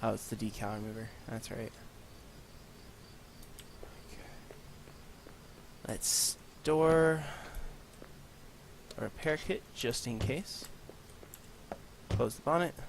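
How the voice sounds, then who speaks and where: A man of middle pitch talks through his game in a loose, rambling way, breaking off into self-mocking asides. His voice is a little congested, and he records in a bare room with no acoustic paneling. A faint hiss sits under the recording. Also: slow pace, gravelly, long pauses. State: congested from allergies. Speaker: gaming channel host. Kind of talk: let's play commentary.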